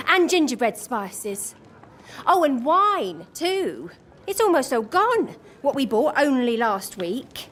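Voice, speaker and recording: A busy housekeeper in a medieval video game. Her high voice rises and falls a lot as she fusses through lists, with faint ambient noise behind. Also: fairly bright tone, heavily acted. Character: busy, fussing female manor housekeeper. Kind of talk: video game dialogue acting.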